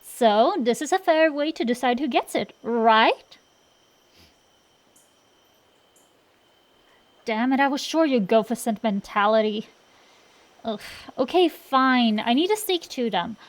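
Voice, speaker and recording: A woman's voice: on the high side, lively intonation, moderate pace, natural voice. A woman playing a cocky tsundere dragon-girl sorceress in an acted roleplay, bantering straight to the listener with sassy, slangy, defensive bluster. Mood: wheedling.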